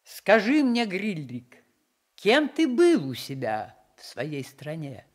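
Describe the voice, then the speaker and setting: A man's voice: sits high, huge pitch swings, takes it slowly, exaggerated voice. An actor playing a kindly, indulgent giant king in a radio play, benevolent and stately. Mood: kindly, musing. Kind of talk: Russian radio play acting.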